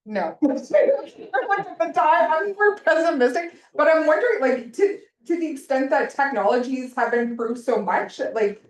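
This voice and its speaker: A woman's voice: high voice, lively intonation, normal speed, hardly any pauses. A middle-aged woman panelist answering audience questions in a chatty, self-interrupting way with frequent laughs. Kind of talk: panel discussion Q&A. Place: conference hall. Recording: roomy, distant room mic.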